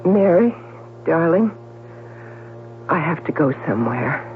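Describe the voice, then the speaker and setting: A woman's voice: deep voice, big pitch swings, slow pace, a little hiss or hum, full character voice. An actress playing a nervous, guilt-ridden woman hiding a crime in a 1970s radio drama. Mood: resigned. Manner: pleading, anxious, breaking into defiance. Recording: tinny, humming old radio broadcast.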